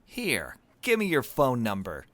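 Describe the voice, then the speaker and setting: A man voicing a brisk, curious, self-interested young woman who is an amateur reporter in a visual novel. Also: mid-range voice, huge pitch swings, fairly bright tone, no room sound.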